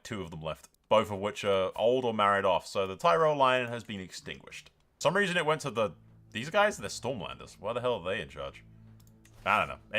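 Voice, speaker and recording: Animated, low-voiced man commentating a game. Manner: sweary, theatrical, bantering. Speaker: game streamer narrating a strategy role-play. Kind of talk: excited, casual gameplay commentary. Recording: music behind the voice. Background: music.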